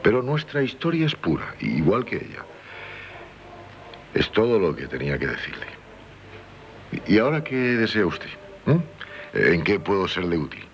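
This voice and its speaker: An actor with a Castilian Spanish accent playing a dissolute, passionate gentleman who stammers and repeats himself, in 1970s radio theatre style. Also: low voice, huge pitch swings, vocal fry, lo-fi sound, a little hiss or hum, heavily acted. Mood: courteous, wounded. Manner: halting, stammering, repeating words. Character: dissolute, guilt-ridden, passionate gentleman in love. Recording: 1970s radio drama with faint music.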